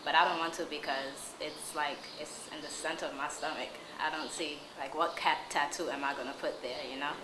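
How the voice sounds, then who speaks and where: Young woman with a low voice, echoey room. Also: steady tone, brisk, hardly any pauses. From Queens, New York. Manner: hesitant, with many "um"s. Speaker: interviewee. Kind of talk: casual interview. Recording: echoey room with background noise.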